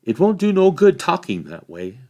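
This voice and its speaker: Man doing a high nervous rustic hobbit. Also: huge pitch swings, moderate pace, mellow, muffled recording, exaggerated voice. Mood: anxious warning. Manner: warning, rural grammar.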